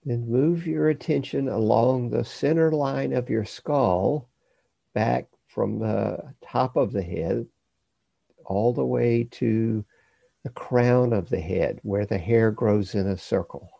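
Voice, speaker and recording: A man teaching a guided body scan meditation, giving measured instructions in short phrases with pauses between them. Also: medium pitch, gravelly, mellow, no echo, dull audio.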